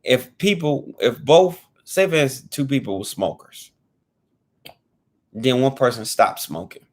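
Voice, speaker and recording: A man in his thirties speaks to camera in an easygoing, earnest vlog style. He loops back and restates his points, leaning on informal slang. His voice sits at an ordinary middle pitch. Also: big pitch swings, a little slow. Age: 30s. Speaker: fitness vlogger. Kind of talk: casual talk to camera.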